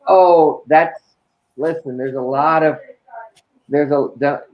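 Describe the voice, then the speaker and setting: A man with a raspy voice, chatting casually as a guest. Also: fairly high pitch, steady tone, takes it slowly, highs cut off. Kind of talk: casual chat.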